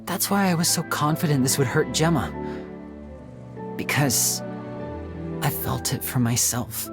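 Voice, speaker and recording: A suave young stage magician. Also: male voice, a little high, a little slow. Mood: wistful. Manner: theatrical, playful showman, soft reflective narration. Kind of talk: video game voice acting. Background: game music.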